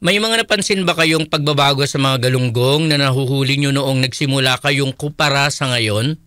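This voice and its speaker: A man's voice: medium pitch, normal speed, pushed and bright, smooth, no room sound. A man with a Filipino accent, co-hosting a radio interview.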